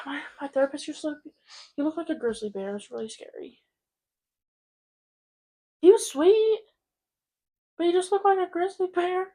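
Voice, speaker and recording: A woman's voice: extremely high pitch, moderate pace, long pauses, mellow. A sixteen-year-old young woman chatting casually and candidly as a podcast co-host, peppering her informal talk with "like". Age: 16, teenager. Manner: candid, informal, heavy use of "like".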